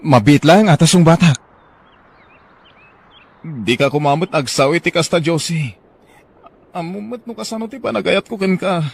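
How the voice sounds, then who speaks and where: A man playing Ray, a hurt but forgiving husband in an Ilocano radio drama, with a rough gravelly voice. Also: fairly high pitch, moderate pace, long pauses.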